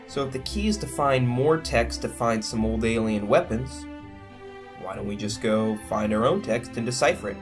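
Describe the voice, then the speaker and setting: An adventurous young spaceship crewman, played by a man, talks in an earnest, casual way. His pitch sits in the middle range. Music plays clearly under the science fiction drama dialogue. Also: normal speed, natural voice. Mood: persuasive, earnest.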